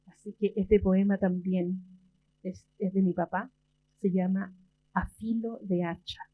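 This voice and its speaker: A woman poet from Chile, talking about her father and then reading her poems in a slow, measured way with long pauses, at a library event. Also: mid-range voice, fairly even intonation, husky, narrow, tinny audio. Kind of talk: slow poetry reading and talk.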